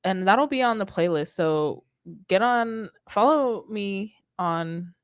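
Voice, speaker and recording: A woman's voice: mid-range voice, animated, takes it slowly, smooth, no echo. A young American woman hosting a music show, chatting casually into a studio mic. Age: young adult. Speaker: radio show host. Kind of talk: casual chat on a music livestream. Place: indoor studio. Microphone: boom-arm studio mic.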